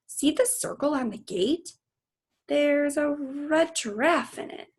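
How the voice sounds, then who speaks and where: An earnest young girl from a children's storybook, voiced by a woman reader. She pleads and insists eagerly, full of big ideas, in a raised, unhurried voice. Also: high-pitched, pitched above the performer's own voice. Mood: insistent. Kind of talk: children's novel read-aloud.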